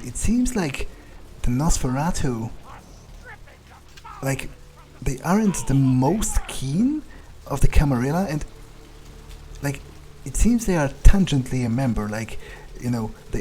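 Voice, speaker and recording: A man roleplaying a self-assured, inquisitive fledgling vampire in a game playthrough, speaking in a halting, musing way. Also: medium pitch, warm tone, slight background noise, natural voice. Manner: halting, musing, hesitant pauses. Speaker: gameplay video player. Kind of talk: in-character roleplay commentary.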